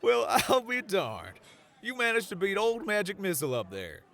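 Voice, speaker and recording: An actor voicing a cocky, easygoing fantasy gunslinger with a Western American accent, drawling and teasing in slang. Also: male voice, high voice, huge pitch swings, moderate pace, creaky, fairly bright tone, heavily acted. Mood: amused.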